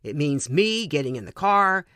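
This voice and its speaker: A man's voice: on the high side, very animated, moderate pace, pushed and bright, dry recording, studio quality. A man reading a humorous personal story aloud as scripted narration. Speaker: reader of short stories for a podcast.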